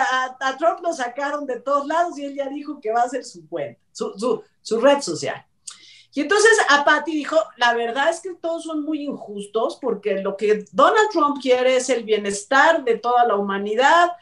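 A woman's voice: high-pitched, expressive, normal speed, a bit bright, far from the mic. A middle-aged woman with a Mexican accent, giving chatty, emphatic, sarcastic opinion commentary as a talk show host on a video call. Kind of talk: informal opinion commentary on entertainment news. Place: indoor room, video call.

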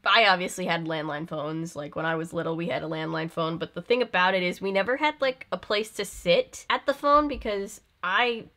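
A woman's voice: lowish voice, fairly quick, few pauses, fairly bright tone. A young woman giving casual, comedic reaction commentary, full of sarcastic asides and mock exasperation. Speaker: video host reacting to an old film.

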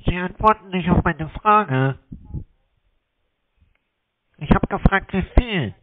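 Casting: male caller, slightly high voice, persistent questioner, tinny telephone-band audio. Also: animated, slow pace. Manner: insistent, repeats questions. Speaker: caller posing as a customer. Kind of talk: phone conversation, questioning. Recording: narrow tinny phone line.

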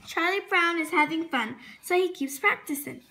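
Bright, high-pitched female storybook reader. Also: moderate pace, gravelly. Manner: expressive, stumbles and corrects words while reading. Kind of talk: reading a children's storybook aloud.

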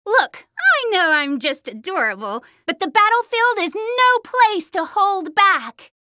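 A woman's voice: very high voice, big pitch swings, unhurried, pushed and bright, no echo, studio quality, exaggerated voice. A woman voicing a cocky, spirited young girl fighter in an anime video game, with a girlish, sassy, exclamatory delivery. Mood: cocky, playful. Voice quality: girlish, youthful. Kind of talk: English-dubbed anime video game battle lines.

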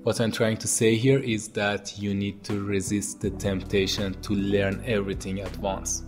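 A man in his thirties with an Iranian accent, calmly giving scripted advice to camera as host of a tutorial channel, speaking into a boom-arm mic in his home studio. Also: moderate pace. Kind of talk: scripted educational talk to camera. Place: home studio with monitors and posters. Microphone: boom-arm podcast mic. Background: soft background music.

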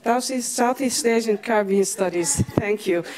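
A woman's voice: fairly high pitch, normal speed, darkish tone. A woman, a Tamazight speaker, moderating a panel at a podium microphone, with frequent fillers. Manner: hesitant, with many fillers and restarts. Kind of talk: introducing panel speakers at a public event. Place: lecture hall, at a podium.

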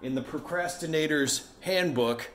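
A man's voice: fairly high pitch, unhurried, a bit bright, a little hiss or hum. A man in his forties chatting casually in a vlog about his day at home. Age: 40s. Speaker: vlogger running an online resale business. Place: home office with bookshelves.

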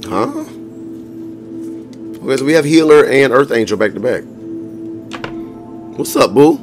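A hoarse man giving a casual tarot reading to viewers, blunt and profane, often laughing as he talks. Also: medium pitch, lively intonation, measured pace. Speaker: tarot reader. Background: music.

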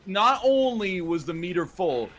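A man's voice: sits high, big pitch swings, a little slow, fairly bright tone. A man from Canada trading casual banter while playing a game with friends.

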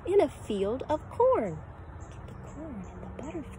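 A woman's voice: high-pitched, huge pitch swings, moderate pace, dark tone, slight background noise. A middle-aged woman, a preschool teacher, reading a picture book to children in a sing-song voice, sometimes making animal sounds, recorded outdoors on a phone camera. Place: outdoor deck in a backyard. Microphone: phone camera at arm's length.

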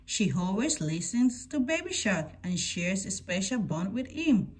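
A woman's voice: medium pitch, big pitch swings, a little slow, slightly rough. A woman reading a picture book aloud to children as a scripted story-time narration.

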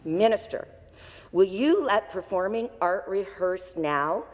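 A woman reading a measured question from notes as an opposition member in a large legislative chamber, on a desk microphone. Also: mid-range voice, lively intonation, long reverb tail, highs cut off.

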